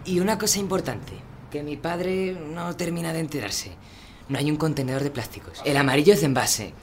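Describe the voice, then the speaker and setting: An actor with an Andalusian accent playing an eager young student in a radio drama, slangy and stammering with admiration. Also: male voice, fairly high pitch, a little fast, a little hiss or hum, natural voice. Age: young adult.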